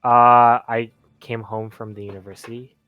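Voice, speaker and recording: A man playing a tabletop roleplay game, speaking casually in a tentative, halting way. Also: mid-range voice, little pitch movement, no room sound, narrow, tinny audio. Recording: dry sound with music underneath. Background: music.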